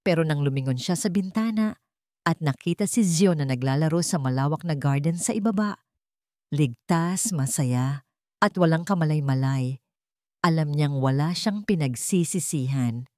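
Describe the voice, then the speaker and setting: A woman with a Filipino accent narrates a scripted story in measured, dramatic short phrases. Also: sits low, darkish tone, no echo, studio quality.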